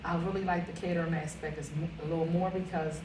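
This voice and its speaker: A woman's voice: fairly low pitch, fairly even intonation, fast talker, far from the mic. Chatty woman recorded echoey and off-mic. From Philadelphia. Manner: chatty, rambling, with many fillers. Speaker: caterer and realtor, interview guest. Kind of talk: casual interview conversation. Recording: echoey room, off-mic, noisy.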